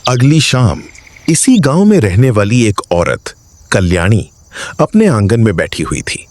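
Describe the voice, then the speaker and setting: A man narrating a scripted horror story dramatically, with suspenseful pauses. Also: on the low side, big pitch swings, a little mellow.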